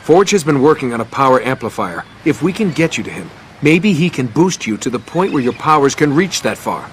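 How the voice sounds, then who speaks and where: An actor playing a calm male mutant team leader, explaining plans steadily in a game cutscene. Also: mid-range voice, a little fast, slight background noise, lightly acted. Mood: serious.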